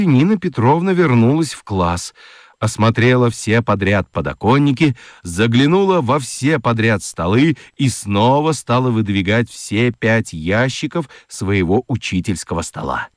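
A man narrating a scripted children's radio story in an expressive, dramatic storytelling manner. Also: medium pitch, unhurried, no room sound, clean studio sound.

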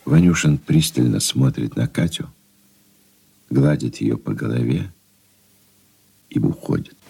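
Extremely deep, creaky male narrator. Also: a little slow, mellow. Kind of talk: scripted stage-direction narration for radio drama.

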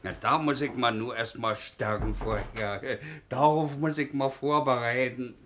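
An actor playing a shabby, ageing ex-convict posing as a captain in a 1950s radio play, plain-spoken and colloquial. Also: male voice, medium pitch, fairly even intonation, measured pace, a bit bright, slight background noise, lightly acted. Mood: shy, deferential.

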